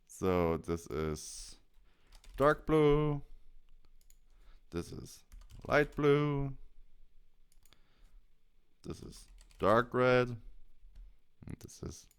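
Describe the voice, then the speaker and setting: A man presenting a software tutorial, explaining each step as he goes. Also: mid-range voice, animated, drawn-out words, pauses often, smooth.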